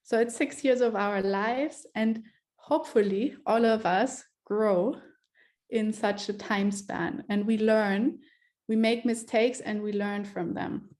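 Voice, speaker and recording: A woman's voice: medium pitch, fairly even intonation, takes it slowly, darkish tone. Give me a woman filmmaker born in Germany and raised in Ethiopia, talking reflectively on a video call. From born in Germany, grew up in Ethiopia. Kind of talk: online panel talk.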